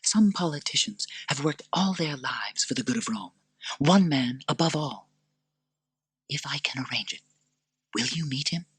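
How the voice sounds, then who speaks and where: A man voicing a fearful noblewoman, speaking in a raised voice that stays soft and pleading. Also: mid-range voice, lively intonation, normal speed, pauses often, slightly rough, dry recording, voice pushed up. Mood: urging.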